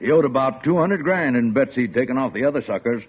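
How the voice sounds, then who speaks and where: A husky american police lieutenant, folksy and lively, tinny old radio sound. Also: male voice, medium pitch, quick pace. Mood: amused. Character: gruff, admiring, amused male police lieutenant. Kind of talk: mid-century American radio drama acting.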